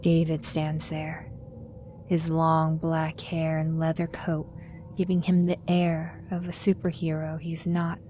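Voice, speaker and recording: A woman narrating a scripted audio drama in a measured storytelling manner. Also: sits low, monotone, dark tone, slight background noise.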